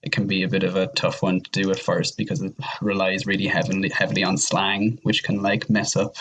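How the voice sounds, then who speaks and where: A man chatting casually in a rambling, halting way, often repeating himself as he works out his point. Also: deep voice, little pitch movement, a bit bright. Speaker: podcast guest. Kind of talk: casual chat.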